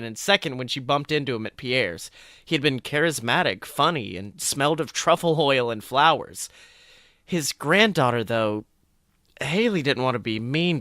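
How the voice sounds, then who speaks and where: Bright, forceful male story reader. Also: medium pitch, dry recording, studio quality. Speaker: narrator reading fan fiction. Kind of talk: expressive story reading.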